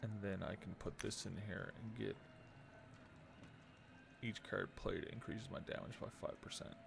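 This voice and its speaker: A man with a fairly low, heavily fried voice does casual live game commentary. He mutters and thinks aloud, over clear background noise. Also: normal speed. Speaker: game streamer.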